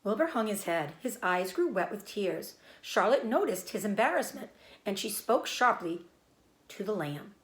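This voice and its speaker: A woman's voice: mid-range voice, very animated, moderate pace, roomy sound, poor audio. A woman narrating a children's story, reading aloud in scripted storybook style.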